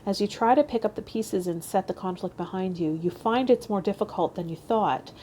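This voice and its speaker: A woman's voice: mid-range voice, a little fast, mellow, slight background noise. A woman hosting a tarot vlog, reading aloud and talking to her viewers. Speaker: tarot channel host. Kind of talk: reading card meanings aloud and casual vlog talk.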